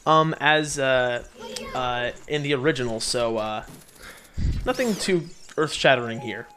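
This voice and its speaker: A man giving casual video game commentary as the host, playful and often pausing to find his words. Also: mid-range voice, expressive, a bit bright, slight background noise. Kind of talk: casual Let's Play commentary.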